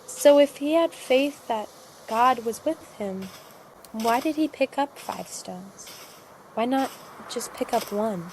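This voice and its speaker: A woman's voice: on the high side, animated, takes it slowly, a little mellow, poor audio. A woman playing a curious, anxious young girl in an audio drama, hesitant and full of questions. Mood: curious, wondering. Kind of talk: cinematic audio drama acting. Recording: clear background ambience noise.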